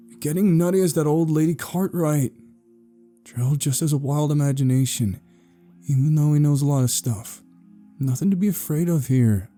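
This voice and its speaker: Man acting a scared little boy, shifted way up high, whiny, stubborn, indignant, storybook character. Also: moderate pace, dark tone, faint music behind, close to an everyday voice. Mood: nervous muttering. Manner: pleading, indignant, childish exclamations.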